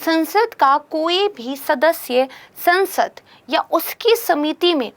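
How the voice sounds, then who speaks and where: Young woman tutor, high voice, a bit hoarse, patient explainer. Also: animated, takes it slowly. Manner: explanatory, peppers speech with English terms and "theek hai". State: hoarse from a sore throat. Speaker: current affairs teacher. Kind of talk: exam-prep lecture.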